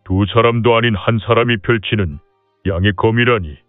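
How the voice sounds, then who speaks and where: Man doing a low-voiced old temple abbot, chuckling heh heh, muttering asides, tinny phone-like sound, faint music. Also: moderate pace, no echo, lightly acted. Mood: marveling. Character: old male Buddhist temple abbot, shrewd. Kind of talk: audio drama character acting.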